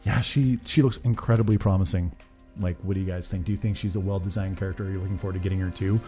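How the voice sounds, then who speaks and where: A man's voice: sits low, fairly even intonation, fast talker, music in the background. A man, giving casual, enthusiastic, sweary commentary as a reaction video host. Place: small room at a desk, indoors. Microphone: large desk microphone, close.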